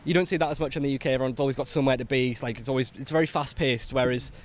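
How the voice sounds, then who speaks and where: A man's voice: mid-range voice, fairly even intonation, rapid-fire, a little hiss or hum. A young man, a volunteer teacher, talking earnestly in a street interview. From England. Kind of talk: casual street interview answer.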